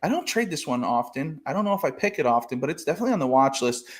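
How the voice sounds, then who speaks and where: A man's voice: fairly high pitch, very animated, rapid-fire. A man hosting a casual trading podcast over a video call, rambling with lots of fillers and restarts. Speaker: podcast host.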